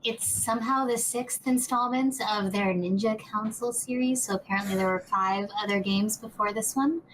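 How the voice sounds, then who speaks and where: A woman's voice: mid-range voice, moderate pace, fairly bright tone, a little hiss or hum, poor audio. A woman hosting a casual gameplay chat, explaining things in a conversational way. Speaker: podcast host presenting gameplay. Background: music behind the voice.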